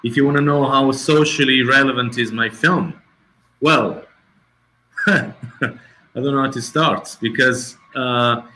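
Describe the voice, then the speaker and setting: A middle-aged man, a documentary filmmaker answering festival questions over a video call, pausing often and searching for his English words. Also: medium pitch, sharp tone, poor audio. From Italy. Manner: hesitant, searching for words, many restarts.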